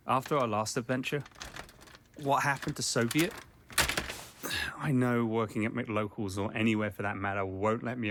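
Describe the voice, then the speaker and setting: A man playing an easygoing, weary young fast-food manager in an audio drama, earnest and good-natured. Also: mid-range voice, close to an everyday voice. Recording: noisy backdrop with clicks.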